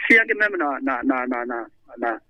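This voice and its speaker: A man's voice: mid-range voice, normal speed. A man calling in to a radio show, chatting animatedly about football with many hesitations and repetitions. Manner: animated, repeats himself, frequent hesitations. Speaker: radio call-in listener. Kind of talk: phone-in football chat. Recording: tinny phone line.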